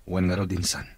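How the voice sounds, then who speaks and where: An actor playing a weary, reluctant wealthy boss in a wheelchair in a radio drama, with a Filipino accent and a sighing manner. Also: male voice, low voice, fairly bright tone, slight background noise, full character voice.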